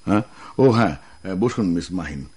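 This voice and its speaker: Courteous but firm office manager, Bangladeshi, low husky voice, radio drama. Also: male voice, expressive, fairly quick, darkish tone, full character voice. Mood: firm, businesslike. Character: newly appointed office manager and writer, courteous, firm, devoted son. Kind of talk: Bengali radio drama acting.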